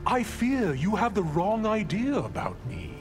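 A sinister undead sorcerer for a fighting game. He is sly and theatrical, drawing out his words with lively swoops over music. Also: high voice, measured pace, exaggerated voice. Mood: sly, threatening. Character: sly undead male sorcerer, cold-blooded soul-stealing villain. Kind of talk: fighting game character dialogue.

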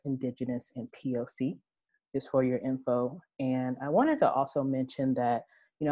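A woman moderating a panel discussion over a video call, speaking in a relaxed, conversational way with many fillers. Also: very deep voice, no echo, highs cut off.

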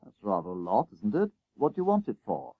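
A man voicing a calm, reasonable schoolmaster in a storybook, measured and mildly amused in his questioning. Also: on the low side, husky, no room sound, narrow, tinny audio, full character voice.

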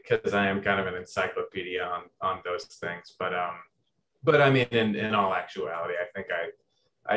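A young man from Southern Indiana speaks in a low, fairly deep voice. He talks in a halting, easygoing way, circling back and restarting his sentences. A faint hum of ambience sits behind him. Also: a bit bright, low-quality recording. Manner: hesitant, many restarts and fillers. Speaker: guest composer. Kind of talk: casual interview.

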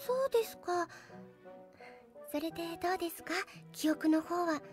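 A devoted middle-school girl in an anime audio drama. Her voice is extremely high and childlike. She speaks with sweet, formal politeness, over faint music. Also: expressive, normal speed. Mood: polite, gentle. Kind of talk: Japanese anime drama CD acting.

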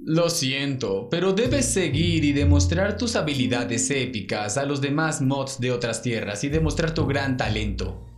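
A man's voice: mid-range voice, lively intonation, a little slow, hardly any pauses, natural voice. A man voicing a friendly, polite robot athlete in a cartoon dub, courteous and formal. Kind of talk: fan-dub cartoon acting in Spanish. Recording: roomy echo, faint music behind.

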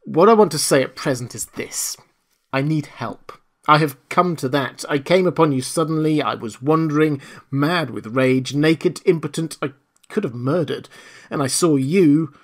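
A man voicing a desperate and menacing invisible man who speaks urgently and forcefully. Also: a little high, moderate pace, pitched above the performer's own voice. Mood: urgent, commanding. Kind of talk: audiobook character reading.

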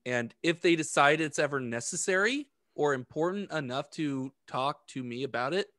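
A man in his thirties co-hosting a podcast, chatting casually in a halting, self-correcting way on a close microphone at home. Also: fairly high pitch, expressive, a bit bright, no room sound. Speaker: podcast co-host. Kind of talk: casual conversation. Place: home room, indoors.

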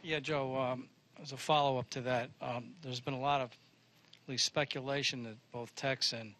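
A man's voice: medium pitch, fairly even intonation, normal speed, sharp tone. A man, a reporter, asking a follow-up question at a press conference.

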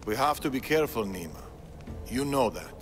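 An older man playing a guarded, weary old Persian assassin in a game cutscene, speaking gravely and deliberately. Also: mid-range voice, big pitch swings, a little hiss or hum, natural voice. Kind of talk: dramatic game cutscene acting. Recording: music under the voice.

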